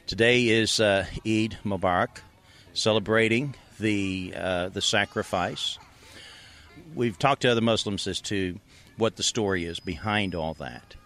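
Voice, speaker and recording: A low-pitched man leads a street interview as an evangelist, choosing his words with care. His probing questions come at a steady pace, broken now and then by an "uh". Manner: measured, probing questions with occasional "uh". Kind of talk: street interview with scripted intro.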